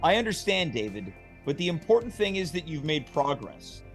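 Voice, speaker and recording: A man reading the part of a calm, encouraging male rehab doctor in a script read. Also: a little high, normal speed, faint music behind. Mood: reassuring. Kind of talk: amateur table read.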